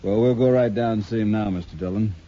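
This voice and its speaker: A muffled old radio western recording of a man acting a crafty cattle driver. The voice is low and very dark, with a folksy way of talking and a careful politeness. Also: fast talker, close to an everyday voice. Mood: polite, folksy. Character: crafty older-brother trail driver, polite stranger sizing people up.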